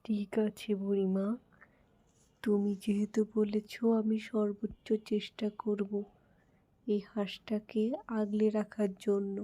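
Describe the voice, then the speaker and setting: A woman voicing a poor, gentle village wife and mother in a cartoon fairy tale, speaking earnestly and respectfully. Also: medium pitch, completely flat delivery, measured pace, lightly acted.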